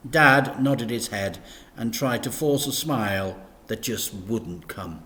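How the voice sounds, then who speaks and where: A man reading a children's story aloud in an expressive, teacherly narration. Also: mid-range voice, slow pace, slight background noise.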